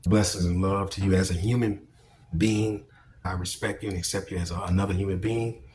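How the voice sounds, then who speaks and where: Deep-voiced male commentator, blunt and emphatic, preachy, curses freely, faint hiss behind. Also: fairly even intonation, a little fast, darkish tone. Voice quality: deep projecting voice. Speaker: commentary vlogger. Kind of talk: opinionated monologue commentary.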